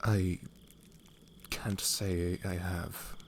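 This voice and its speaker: A man playing a proud, earnest young knight in a fantasy ASMR audio roleplay, formal and halting, easily flustered. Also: low-pitched, little pitch movement, slight background noise, full character voice. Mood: wary.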